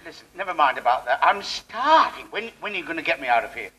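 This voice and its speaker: A man playing a hapless, excitable, self-pitying English suburban husband in a British TV sitcom. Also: fairly high pitch, fast talker. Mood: impatient, desperate. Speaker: sitcom actor. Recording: very noisy old TV sitcom recording.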